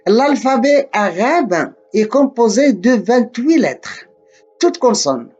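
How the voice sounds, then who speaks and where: Man, from Morocco, explaining like a guide, very slow delivery, restarts phrases, muffled sound. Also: very high voice, big pitch swings, darkish tone, faint music behind. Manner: deliberate, repeats himself, self-corrects. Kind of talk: slow spoken lesson to camera.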